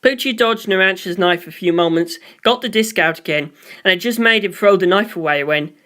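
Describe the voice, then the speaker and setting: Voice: medium pitch, brisk, fairly bright tone. A narrator reading a scripted story aloud. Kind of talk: scripted narration of fan fiction.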